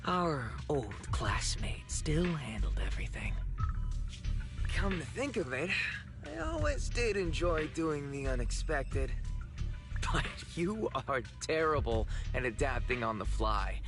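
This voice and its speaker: Slow, theatrical, mocking male villain. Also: fairly high pitch, exaggerated voice. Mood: sly amusement, then cruel mockery. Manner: taunting, drawn-out, theatrical delivery. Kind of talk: scripted video game voice acting. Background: music.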